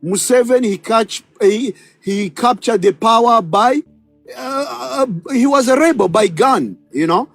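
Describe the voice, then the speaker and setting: Guy in his thirties, really high dry voice, stumbles and restarts while pushing back. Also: gravelly, fairly bright tone, dry recording, soft background music. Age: 30s. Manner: halting and defensive, many restarts. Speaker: panel participant. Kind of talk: heated online political debate.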